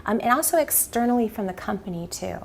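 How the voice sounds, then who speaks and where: A woman of middle pitch talks casually as an interview guest, animated and lively, often acting out what people said. Her speech is sprinkled with "um" and "right?", over a faint background hiss. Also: fast, gravelly. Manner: animated storyteller who acts out quoted dialogue, frequent "um" and "right?". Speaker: interview guest, business executive.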